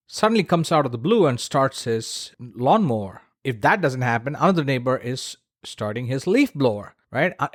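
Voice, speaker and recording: A man with a medium-pitched voice talks as the host of a solo podcast. He explains in a chatty, emphatic way, speaking straight to the listener with casual asides. The sound is close and dry, with very little room in it. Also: dry recording.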